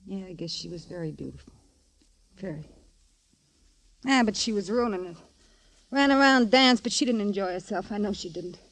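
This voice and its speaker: A woman's voice: medium pitch, normal speed, long pauses, close to an everyday voice. An actress playing a jaded, possessive dance hall woman in 1950s radio noir, slangy, tough and knowing. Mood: guarded, wistful.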